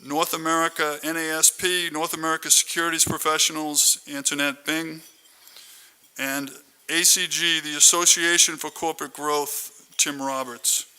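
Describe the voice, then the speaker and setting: A man's voice: fairly high pitch, flat delivery, normal speed, sharp tone, clear, resonant tone. A man hosting a conference, giving a formal, prepared introduction speech. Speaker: conference host introducing keynote speaker.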